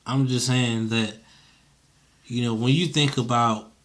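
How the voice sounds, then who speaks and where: A man with a mid-pitched voice talks in a casual, conversational way. His phrasing is informal and slangy, and he repeats short phrases to stress a point. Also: fairly even intonation, unhurried, a bit bright. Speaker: interviewer.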